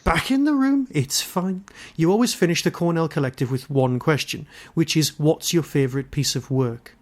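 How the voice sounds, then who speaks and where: A man's voice: fairly high pitch, moderate pace, noisy background. A man hosting a casual podcast interview in an easygoing, reassuring, conversational way.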